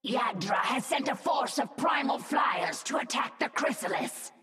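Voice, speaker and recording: A woman voicing a fierce, proud alien insectoid brood mother in a video game, imperious and formal, with deliberate delivery. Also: high-pitched, expressive, raised voice. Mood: commanding, urgent. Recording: echoing, reverberant, slightly distant with music.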